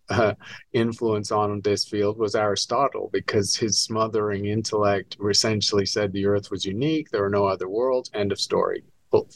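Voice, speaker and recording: A man's voice: fairly low pitch, no echo. A man in his sixties, originally from Scotland, explaining things fluently as an academic guest in an interview. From born in Scotland, raised in England and the US. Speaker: astronomy professor, author, podcast guest.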